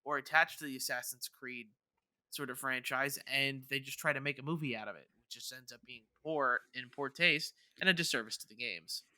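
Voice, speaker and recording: A man's voice: mid-range voice, a little fast, sharp tone, dry recording. A man co-hosting a podcast in casual chat, thinking aloud with hesitant starts and repeated words. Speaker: podcast co-host. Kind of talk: casual chat, debating video game movies.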